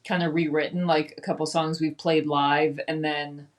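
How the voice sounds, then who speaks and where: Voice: medium pitch, level tone, moderate pace. Guitarist, chatty and laughing often, casual interview talk. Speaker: band member, guitarist.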